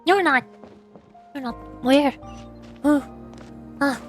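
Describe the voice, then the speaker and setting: A woman's voice: high-pitched, takes it slowly. A woman streamer giving casual gameplay commentary, playfully muttering to herself as she plays. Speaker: streamer, vtuber. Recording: game music behind the voice. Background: faint game music.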